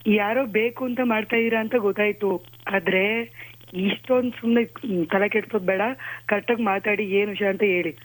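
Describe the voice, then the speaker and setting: A woman's voice: medium pitch, steady tone, moderate pace. A woman argues on a phone call in a curt, scolding, impatient manner. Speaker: prank call recipient. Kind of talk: argumentative phone conversation. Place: on a telephone call.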